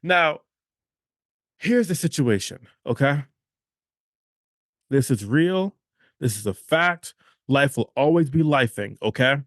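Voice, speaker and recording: A man's voice: medium pitch, expressive, takes it slowly, pauses often, a bit bright, no echo, studio quality. A man hosting a motivational podcast, talking emphatically, repeating phrases and checking in with "okay?". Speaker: podcast host.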